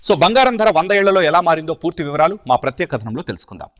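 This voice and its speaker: Man, news presenter, forceful bright tone, slightly high pitch, scripted delivery. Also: very animated, very fast, faint music behind.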